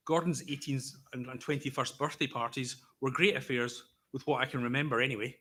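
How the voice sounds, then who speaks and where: A Scottish man in his fifties giving a prepared eulogy for his brother, speaking slowly and carefully with a wry, gently humorous storytelling manner. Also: mid-range voice, steady tone. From Scotland. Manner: deliberately slow, careful delivery. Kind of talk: prepared memorial speech.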